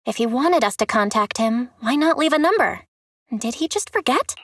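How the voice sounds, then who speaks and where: An actress playing a lively, sceptical young woman in a video game, with a teasing, expressive delivery. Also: fairly high pitch, normal speed, no echo, studio quality. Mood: puzzled, teasing.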